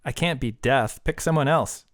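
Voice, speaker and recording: A man's voice: medium pitch, normal speed, close to an everyday voice. Anxious, protesting young woman, read by a man. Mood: disbelieving. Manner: pleading, exasperated.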